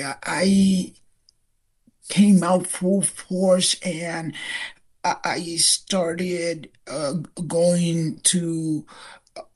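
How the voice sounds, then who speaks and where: Older woman guest author, husky and unhurried, hesitant emotional delivery. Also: sits low. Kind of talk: personal interview.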